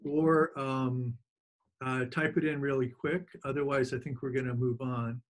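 Male event host, mid-pitched, informal and appreciative, halting delivery, giving thanks and announcements on a video call. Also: flat delivery. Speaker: host of an online book reading, press editor.

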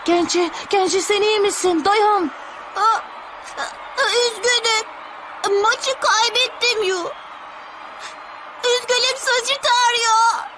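A woman's voice: very high-pitched, steady tone, takes it slowly, pauses often, background noise. A woman dubbing an earnest, determined young boy in an anime cartoon, with an emotional delivery. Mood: worried, sorry. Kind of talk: dubbed anime voice acting. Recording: loud music under the voice.

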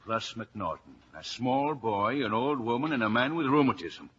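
An actor playing a dry, clipped police inspector in a 1950s radio drama on a dull broadcast recording. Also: male voice, mid-range voice, lively intonation, normal speed, fairly bright tone, slightly rough.

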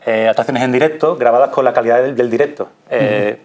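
A man's voice: mid-range voice, fairly quick, a little mellow. A man in his thirties with a Spanish accent, talking as an interview guest in a TV studio. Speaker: radio theatre performer, guest. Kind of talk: interview talk.

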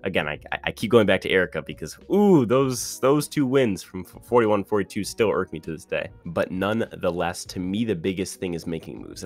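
A man giving opinionated, ranting commentary on a reality show contestant in a casual monologue. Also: low voice. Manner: opinionated, ranting, quick with restarts.

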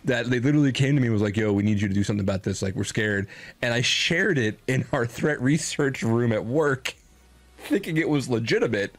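A man in his thirties hosting a casual streamed chat, excitable, swearing freely and laughing often. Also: medium pitch, fairly quick, no room sound. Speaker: podcast host.

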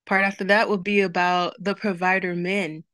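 A woman's voice: lowish voice, level tone, moderate pace, fairly bright tone, no room sound. A young woman opens an online webinar on a video call, leading a short prayer before welcoming everyone and reading the chat aloud. Her tone is upbeat and chatty, with frequent laughs. The sound is dry and close. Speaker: webinar host.

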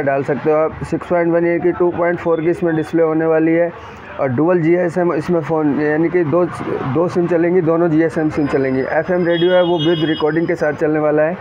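A man with a slightly high voice presents a product in a casual, unscripted way. He speaks straight to his viewers and repeats phrases as he goes. The sound is a bit dull and lo-fi, with some background noise. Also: darkish tone. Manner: repetitive, addresses viewers directly. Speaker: tech reviewer hosting an unboxing video. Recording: dull lo-fi audio, clear ambient noise.

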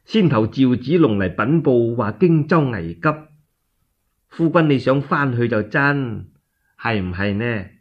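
A man voicing a gentle, devoted noble wife in a one-man radio drama, soft and consoling. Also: mid-range voice, animated, unhurried, lo-fi sound, voice pushed down. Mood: concerned.

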